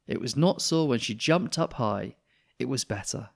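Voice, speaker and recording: A man narrates a children's story aloud. His mid-pitched voice moves up and down expressively, in the manner of a storyteller reading to young listeners. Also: expressive, moderate pace, creaky, breathy edge. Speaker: children's book reader.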